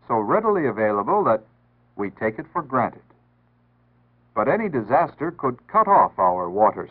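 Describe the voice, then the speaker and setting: An American man reads a scripted 1960s public service announcement in an earnest, instructive, formal way. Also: medium pitch. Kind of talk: 1960s scripted civil defense announcement narration. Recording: tinny band-limited 1960s radio-style archive audio.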